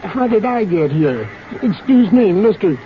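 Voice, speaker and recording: An actor playing a fussy, frightened little tailor in an old radio drama, fretful, flustered and polite. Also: male voice, high voice, sing-song, unhurried. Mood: flustered, alarmed. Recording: dull, noisy vintage radio recording.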